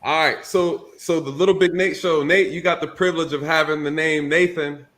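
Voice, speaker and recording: A man's voice: fairly high pitch, fairly even intonation, normal speed, fairly bright tone. A man in his thirties moderating a casual panel discussion, talking loosely and joking, with plenty of fillers. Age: 30s.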